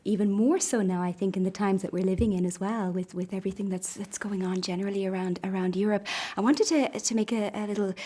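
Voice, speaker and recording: A woman's voice: mid-range voice, fairly even intonation, fast talker, few pauses, warm tone. A woman hosting an interview who asks questions in an upbeat, encouraging way and often murmurs agreement while her guest speaks. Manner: upbeat and encouraging, frequent "mm-hmm" backchannels. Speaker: interviewer and host. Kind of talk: conversational interview.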